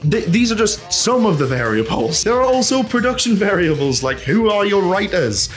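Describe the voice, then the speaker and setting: A man giving a casual, opinionated video essay in an animated, ranting manner, full of rhetorical questions and swearing. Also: high-pitched, moderate pace, soft background music. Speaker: host of a video essay channel.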